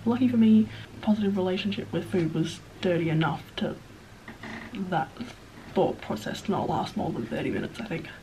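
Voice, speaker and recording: A young Australian woman in her twenties chats to camera with a blocked-up, nasal voice from a cold. She rambles in a self-deprecating way and sometimes drops to a hushed whisper. A faint hiss sits under the recording. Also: fairly low pitch, normal speed, poor audio. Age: 20s. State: sniffly, stuffed-up nose. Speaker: vlogger. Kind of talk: casual Q&A chat to camera.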